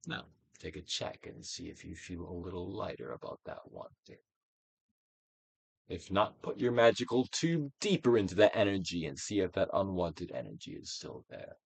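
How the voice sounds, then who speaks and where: Male narrator, low gravelly voice, theatrical and deliberate reading of self-help instruction. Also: big pitch swings, dry recording, poor audio. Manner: theatrical, deliberate actorly delivery with emphatic swells and hushed drops. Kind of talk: theatrical dramatic reading, guided-meditation instruction.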